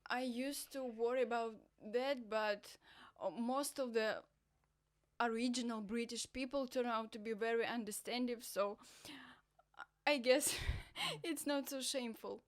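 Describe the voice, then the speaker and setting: A woman with an Eastern European accent hosting a cross-cultural interview show, friendly and slightly halting. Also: on the high side. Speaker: host.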